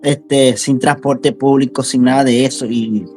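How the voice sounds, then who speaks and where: A man's voice: medium pitch, steady tone, a little slow, a little mellow, soft background music. A young man from central Venezuela with a Venezuelan accent, talking casually as an interview guest and repeating words for emphasis. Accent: Venezuelan Spanish.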